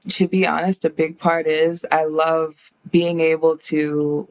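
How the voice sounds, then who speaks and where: Lower-voiced American woman with creaky edge. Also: little pitch movement, slow pace. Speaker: interview guest, horror writer and director. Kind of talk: reflective conversational interview.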